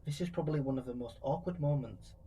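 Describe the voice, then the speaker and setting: A man's voice: mid-range voice, fast talker, dark tone, a little hiss or hum. A man narrating creepy first-person true stories from a script, as a true crime storyteller.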